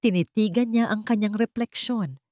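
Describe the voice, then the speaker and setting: A woman's voice: mid-range voice, moderate pace, dark tone, dry recording, clean studio sound. A woman giving dramatic scripted narration of an audiobook story.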